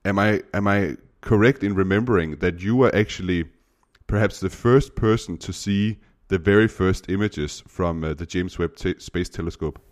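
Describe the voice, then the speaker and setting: Casting: deep-voiced Danish-native podcast host, hesitant, lots of uhs. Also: male voice, moderate pace. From Denmark. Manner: hesitant, many uh fillers. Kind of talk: interview podcast.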